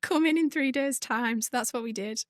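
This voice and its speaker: A woman with a Yorkshire accent and a husky, worn voice, chatting as a podcast guest and laughing often. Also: fairly high pitch, normal speed, no room sound, studio quality. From Northern England. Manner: laughs often, self-deprecating. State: husky from overuse. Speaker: guest, events business founder. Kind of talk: casual podcast conversation.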